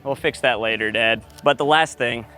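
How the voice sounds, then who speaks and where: A man's voice: mid-range voice, moderate pace, pushed and bright. A man talking casually and teasingly as he explains the work he is doing on location. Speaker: sound engineer and host. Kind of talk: casual chat while working. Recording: clear background noise, on-location audio.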